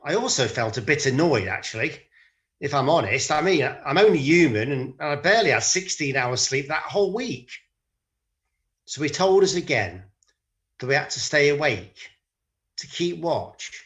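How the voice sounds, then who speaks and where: A man's voice: a little high, animated, normal speed, a bit bright. A man playing a tired, defensive disciple who recounts a night in a hesitant, colloquial, confessional monologue. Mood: sheepish, defensive. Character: weary disciple, sheepish, defensive, mildly resentful, recounting a night. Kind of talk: dramatic monologue in conversational modern speech.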